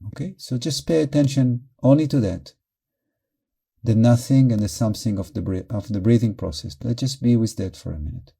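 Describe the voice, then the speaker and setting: Very mellow, soft-edged male meditation guide. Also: medium pitch, creaky. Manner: calm, measured, short phrases with pauses. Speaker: meditation teacher.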